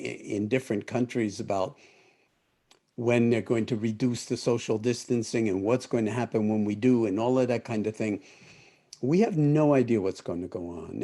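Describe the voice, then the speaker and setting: A man's voice: medium pitch, dark tone. An older man from the United States leading an online meditation group on a video call, speaking thoughtfully and correcting himself as he goes. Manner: thoughtful, rambling with self-corrections. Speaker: meditation teacher. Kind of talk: live-streamed talk to an online group.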